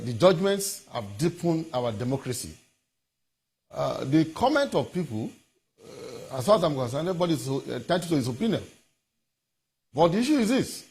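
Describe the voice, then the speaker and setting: A man with a Nigerian accent giving formal legal commentary as an interview guest, deliberate and emphatic, often halting and repeating words. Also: on the high side, husky.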